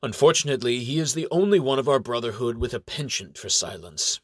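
A man's voice: mid-range voice, lively intonation, fairly quick, dry recording, studio quality, close to an everyday voice. A man voicing a courtly male inquisitor who speaks formally and piously. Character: courtly male inquisitor leading a friars' party.

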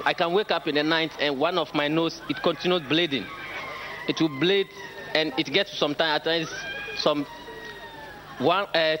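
A man with a Ghanaian accent giving a halting spoken testimony in short, broken phrases. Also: fairly high pitch. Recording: noisy outdoor crowd. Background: crowd noise.

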